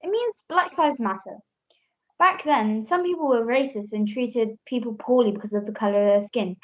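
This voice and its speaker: A woman's voice: fairly high pitch, normal speed, natural voice. A young woman playing a mother who patiently explains and corrects, in an amateur radio play. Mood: explaining. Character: patient, correcting mother telling her child history. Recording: dull lo-fi home recording.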